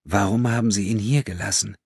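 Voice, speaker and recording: A man voicing a troubled, compassionate young woman in an audiobook, hushed, breathy and hesitant as she gently probes. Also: lowish voice, fairly even intonation, fairly quick, no echo, studio quality, pitched above the performer's own voice. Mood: probing, thoughtful.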